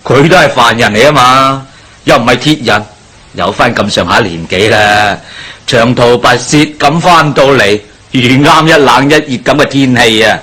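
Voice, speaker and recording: A wry, gossiping villager in a Cantonese radio play. The voice is mid-pitched and husky, with a Hong Kong accent. He sounds matter-of-fact and sceptical. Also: normal speed, bright, forceful tone. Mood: wry, gossipy. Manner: colloquial, wry. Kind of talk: Cantonese radio drama acting.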